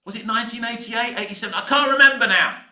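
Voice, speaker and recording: An echoey room carries a very high, bright voice. It belongs to a British man in his fifties, ranting casually as a film vlogger. He is emphatic and exasperated, hammering his points over and over. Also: fast talker. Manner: emphatic, exasperated, swearing mildly, repetitive. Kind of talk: opinionated rant to camera, casual.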